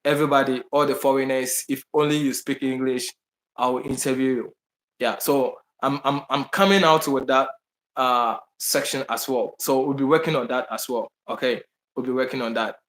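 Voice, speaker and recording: A man's voice: mid-range voice, steady tone, normal speed, fairly bright tone, reverberant, poor audio. A man with a Ghanaian accent chatting casually to his viewers, answering their questions in a rambling way with many restarts, fillers and emphatic repeats. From Bahamas, raised partly in Ghana. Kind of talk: casual live chat to viewers. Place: indoors in Brazil.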